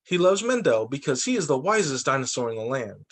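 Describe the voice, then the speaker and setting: A man who teaches young children, reading picture books aloud in an expressive, sing-song storytime delivery. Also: medium pitch, a little fast. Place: remote video call story session.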